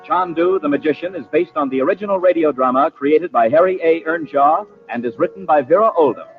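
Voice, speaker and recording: A man announcing and pitching for a sponsor in 1940s radio style, polished, measured and persuasive. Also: over music. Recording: dull vintage radio broadcast recording.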